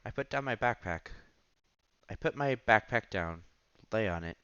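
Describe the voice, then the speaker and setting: A man's voice: lowish voice, expressive, moderate pace, a bit bright, smooth, dull audio. A man streaming a visual novel, reading the text aloud with casual commentary and stumbling over words now and then. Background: game music.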